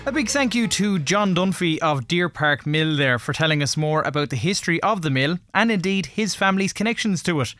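A man's voice: a little high, expressive, a little fast, hardly any pauses, sharp tone, soft background music. A man with an Irish accent presenting a radio programme from a script, linking between segments as its host.

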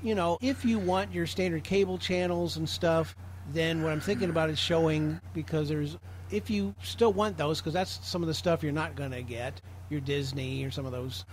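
A man's voice: fairly high pitch, moderate pace. An American man giving an informal, rambling explanatory talk to a group as a presenter in an online meeting. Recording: clearly noisy online meeting audio.

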